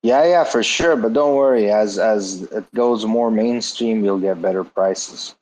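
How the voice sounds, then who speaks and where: A man's voice: lowish voice, a little mellow, low-quality recording. Upbeat man hosting a live chat. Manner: upbeat, quick encouraging replies. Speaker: host.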